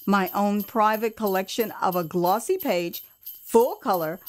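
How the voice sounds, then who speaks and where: An American woman narrating her own first-person memoir as theatrical audio drama, expressive, drawing out words for emphasis and dropping in chatty asides. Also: mid-range voice, unhurried, faint music behind. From United States.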